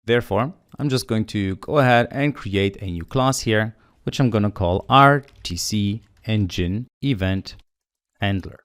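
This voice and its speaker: A man walks through a programming tutorial, explaining each step in a steady, matter-of-fact way as he types. His voice sits on the low side and is recorded close and dry, with almost no room sound. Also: unhurried, clean tone, dry recording. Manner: steady explanatory, thinking aloud while typing. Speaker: coding tutorial instructor. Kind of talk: screen-recorded coding walkthrough.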